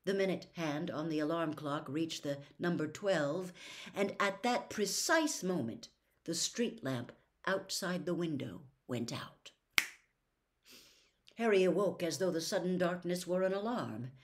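A woman in her sixties reading a novel aloud as a storyteller, with dramatic, deliberate phrasing. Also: fairly low pitch, expressive. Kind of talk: expressive audiobook-style reading of a novel. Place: small furnished room at home.

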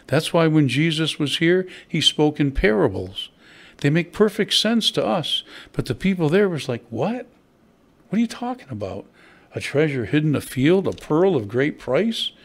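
An older man, a pastor, preaching a sermon at a church pulpit, conversational and asking his listeners questions. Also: on the high side. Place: church hall at a wooden pulpit. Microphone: stand mic at the pulpit.

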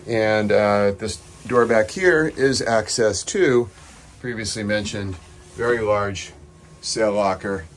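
Low-voiced man giving a boat tour. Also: takes it slowly, a little hiss or hum. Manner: enthusiastic salesman, relaxed, with frequent fillers. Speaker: yacht broker. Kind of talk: casual walkthrough tour. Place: on a sailboat at a dockside boat show, outdoors and in the cabin. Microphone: handheld phone camera.

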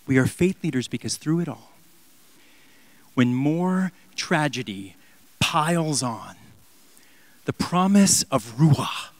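A man in his thirties preaching a sermon in a church on a handheld mic, impassioned, swinging from loud emphatic peaks down to near-whispers. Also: a little high, no echo. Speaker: pastor. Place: large church sanctuary.

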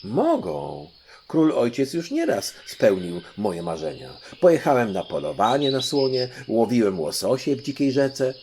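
A man's voice: medium pitch, huge pitch swings, unhurried, darkish tone, slight background noise. A man voicing an eager, hesitant young prince in an audiobook, stammering and impatient. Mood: indignant. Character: young African prince, male, eager, hesitant, easily flustered. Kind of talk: audiobook storytelling.

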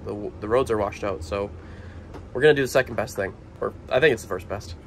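A man's voice: lowish voice, brisk. A young American man narrating a casual travel vlog in a folksy, playful way. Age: young adult. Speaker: vlogger. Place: in and around a motorhome on the road. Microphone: handheld camera at arm's length. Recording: noisy handheld outdoor and vehicle audio.

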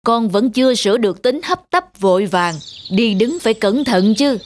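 A woman voicing a patient, stern bear wizard teacher in a cartoon, instructive and measured. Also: a little high, expressive, slight background noise, close to an everyday voice. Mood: admonishing. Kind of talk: cartoon fable voice acting.

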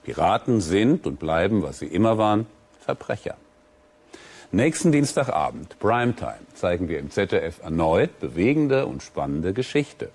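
A man's voice: low voice, very animated, moderate pace, a little mellow, slightly rough. A man presenting a television documentary programme from a script.

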